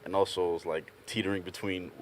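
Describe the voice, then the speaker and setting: A young man from Ghana, a panel guest telling animated stories in a casual group chat, often laughing as he talks. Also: sits low, brisk, slight background noise. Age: young adult. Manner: animated storyteller, laughs through anecdotes.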